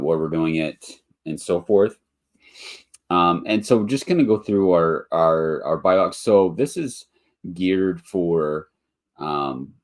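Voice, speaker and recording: A man hosting a live webinar in a casual, rambling way, a bit nasal and congested from allergies, with lots of ums and restarts. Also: rumbling low. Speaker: webinar host, motel investor.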